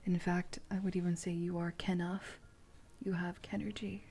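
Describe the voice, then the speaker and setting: A woman streamer speaking softly in a playful ASMR livestream style, often dropping into a whisper. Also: fairly low pitch, level tone, moderate pace. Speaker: streamer reading tarot.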